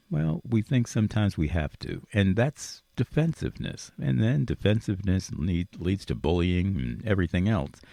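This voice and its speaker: An older male talk show host with a low, gravelly voice and very dramatic swings in pitch. He speaks earnestly and emphatically, preaching encouragement directly to his audience in a reflective monologue. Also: warm tone, no room sound. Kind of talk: reflective motivational monologue to listeners.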